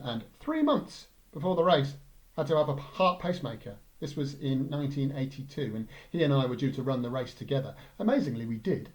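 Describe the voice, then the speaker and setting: A man's voice: medium pitch, a little mellow, low-quality recording. A man with an English accent reading a light-hearted first-person story as narrator. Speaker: story reader.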